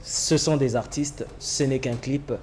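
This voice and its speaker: A man hosting a TV interview, speaking in a halting way with many hesitations. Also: mid-range voice, steady tone, a little mellow, a little hiss or hum. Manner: halting, many "euh" fillers. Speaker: TV show host.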